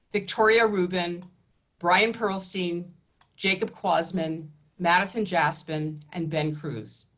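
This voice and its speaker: A woman's voice: medium pitch, fairly bright tone. Woman welcoming an online service. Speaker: rabbi or educator leading a service. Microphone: home video call.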